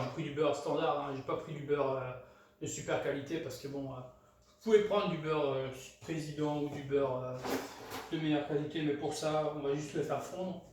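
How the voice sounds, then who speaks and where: A man from France hosts a casual cooking tutorial, hesitant and full of fillers and restarts. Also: a little high. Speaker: home cook, channel host. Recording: very echoey room, mic far off, clear background noise.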